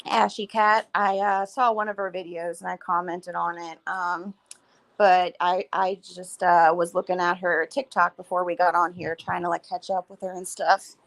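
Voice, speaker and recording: A woman co-hosting a casual livestream chat, her voice a little raspy, talking easily with fillers. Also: lowish voice, little pitch movement, moderate pace, a bit bright. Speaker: co-host.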